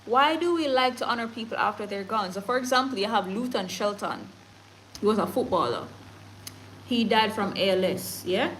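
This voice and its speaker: A woman's voice: medium pitch, moderate pace, a little hiss or hum, rough recording. Emphatic Jamaican woman livestreaming. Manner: animated, emphatic, laughs often, mixes patois. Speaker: livestream news host. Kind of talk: casual livestream chat reading comments and news.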